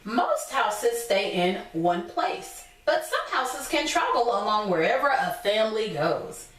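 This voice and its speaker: A woman teacher reading a picture book aloud to young children, pausing to explain things simply in her own words. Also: mid-range voice, big pitch swings, fairly bright tone, a little hiss or hum. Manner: instructive, repeats and explains points simply. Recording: echoey room, distant from mic.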